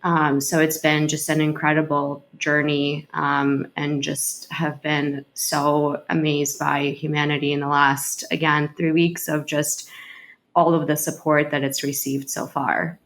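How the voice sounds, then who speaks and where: Ukrainian-born woman, russian native speaker, low flat-ish voice, earnest interview guest, pauses a lot. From Kharkiv, Ukraine. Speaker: interview guest, healthcare executive. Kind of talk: earnest conversational interview answers.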